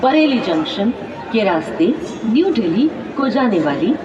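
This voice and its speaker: A woman with an Indian accent reading formal, segmented pre-recorded railway announcements over a public address loudspeaker. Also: fairly high pitch, very animated, recorded from a distance. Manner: formal, measured, segmented phrasing. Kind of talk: pre-recorded scripted public announcement, pieced together from phrases. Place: busy railway station, loudspeaker heard from afar. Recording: echoey, dull station PA with crowd noise.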